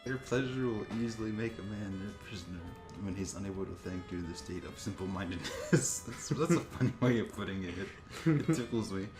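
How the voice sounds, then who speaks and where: Young man, deep-ish voice, casual reading with jokey asides, roomy echo, background music. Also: animated, brisk, hardly any pauses. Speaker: co-host reading wiki entries aloud. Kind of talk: casual read-aloud with joking commentary.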